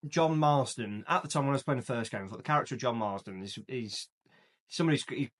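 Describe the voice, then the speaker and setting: A man co-hosting a casual film podcast, talking in quick bursts with restarts and laughs. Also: medium pitch, fairly bright tone. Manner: chatty, frequent restarts and laughs. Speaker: podcast co-host. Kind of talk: casual chat.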